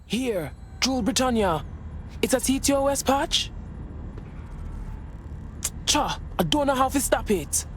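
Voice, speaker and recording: An actress playing a wry, streetwise hacker in a video game, dry, sceptical and informal. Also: female voice, sits high, lively intonation, a little slow, pauses often, a little hiss or hum. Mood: wry, wary. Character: wry, streetwise female hacker resistance member. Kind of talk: video game cutscene acting.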